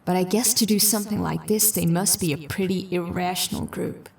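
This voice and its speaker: A woman's voice: fairly low pitch, fairly even intonation, brisk, vocal fry, darkish tone, distant mic. A woman playing a determined, sceptical police detective in a science fiction audio drama, musing and dry in her delivery. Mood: analytical. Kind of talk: audio drama dialogue and investigative monologue.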